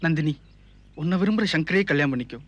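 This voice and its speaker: Quick, deferential assistant director. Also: male voice, a little high, lively intonation, dry recording. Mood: reading lines aloud. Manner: polite, deferential. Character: dutiful, deferential male assistant film director. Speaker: actor in a TV serial.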